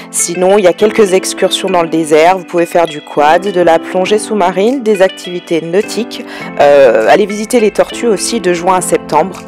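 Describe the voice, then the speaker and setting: Chatty French woman narrating a travel vlog. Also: fairly low pitch. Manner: relaxed, chatty, frequent "euh" fillers. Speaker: travel vlogger.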